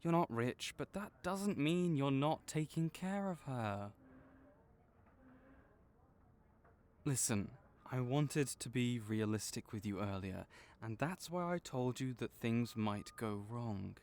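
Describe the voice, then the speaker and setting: Man playing a gentle, reassuring magical-creature vet. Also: medium pitch, a little slow, hardly any pauses, natural voice. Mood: firm, reassuring. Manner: gentle, encouraging, patient explaining. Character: kind, reassuring male veterinarian for magical creatures. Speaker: voice actor in audio roleplay. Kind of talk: comforting audio roleplay, one-sided conversation.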